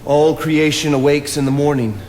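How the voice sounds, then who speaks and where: A man's voice: on the high side, steady tone, moderate pace, darkish tone, close to an everyday voice. A man acting on stage as an earnest, solitary nature philosopher, declaiming and musing aloud. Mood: dreamy, musing. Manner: declamatory, musing, talks to himself. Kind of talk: theatrical stage play acting. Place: on stage in a theatre. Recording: reverberant theatre hall, noisy background.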